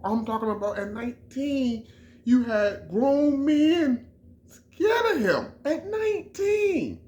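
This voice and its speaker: A man's voice: high-pitched, a little mellow, slight background noise. A middle-aged man from Chicago giving an emphatic, repetitive sports opinion in a casual vlog, often restarting his phrases. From Chicago, USA. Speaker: vlogger.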